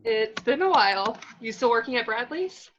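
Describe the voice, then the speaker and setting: Blunt, wry young heroine, read by a woman at a table read, higher-pitched, sharp tone, slightly muffled home recording. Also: animated, normal speed, slight background noise. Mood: direct. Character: tough, blunt young woman survivor seeking answers.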